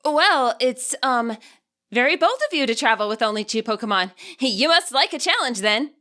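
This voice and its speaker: A woman plays an earnest, polite teenage girl in a story audiobook. Her voice is high and bright, with lively swings and a chatty, animated delivery. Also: normal speed. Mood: eager, tactful.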